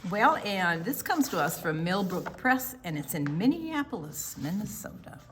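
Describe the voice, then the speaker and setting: An older woman co-hosting a children's storytime, explaining and reading aloud deliberately, with emphasis on single words. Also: fairly low pitch, big pitch swings, a little hiss or hum. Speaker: children's storytime co-host and reader.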